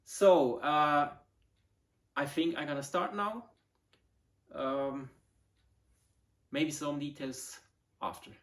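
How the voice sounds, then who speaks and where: A man in his forties, a Swiss German native speaker with a Swiss German accent, hosting a casual hobby video in halting English with many fillers as he searches for words. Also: on the high side. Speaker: model rocket hobbyist, channel host. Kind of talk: casual show-and-tell video.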